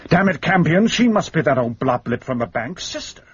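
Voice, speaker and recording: A man's voice: a little high, big pitch swings, brisk. An actor playing a weary, exasperated British policeman with a clipped, dry manner in a radio drama. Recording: dull, lo-fi radio recording.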